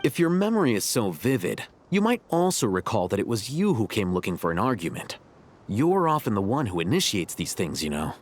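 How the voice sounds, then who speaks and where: An actor playing a calm, rational young male intellectual in a video game, with a measured, dry, matter-of-fact delivery. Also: lowish voice, slight background noise. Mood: dry, wry.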